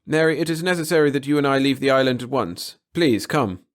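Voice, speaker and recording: A commanding middle-aged male scientist as a narrator acts him out, obsessed and formal. His speech is insistent and lifted in pitch, in the manner of a dramatic audiobook. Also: animated, brisk, close to an everyday voice. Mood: urgent, formal. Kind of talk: dramatic audiobook character reading.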